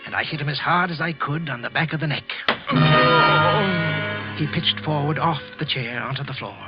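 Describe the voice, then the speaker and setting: An actor playing a frightened, guilt-ridden office clerk confessing in a halting 1940s radio suspense narration. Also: male voice, on the high side, loud music behind the voice, close to an everyday voice. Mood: anguished confession. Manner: tense, halting, repeating himself. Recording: muffled old radio recording.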